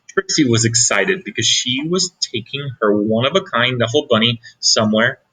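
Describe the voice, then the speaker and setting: A man reading a picture book aloud as a teacher, pausing for asides to young listeners. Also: mid-range voice, bright, forceful tone, breathy edge, rough recording. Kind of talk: read-aloud storytelling.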